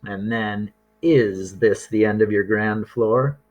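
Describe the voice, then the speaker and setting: A man's voice: lowish voice, fairly even intonation, slow pace, a little mellow, dull audio. A man voicing a long-suffering, tidy-minded mother in a storybook, with firm, matter-of-fact pronouncements. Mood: weary.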